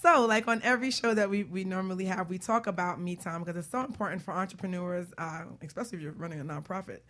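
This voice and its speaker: A woman hosting a radio talk show in a casual, chatty, informal way with animated banter. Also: fairly low pitch, fast talker.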